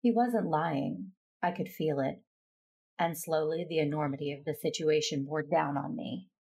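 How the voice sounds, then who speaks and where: Low, husky woman as Jedi master. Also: normal speed, dark tone, full character voice. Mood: wary narration. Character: composed, diplomatic woman Jedi master, wary. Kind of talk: first-person audio drama narration and acting.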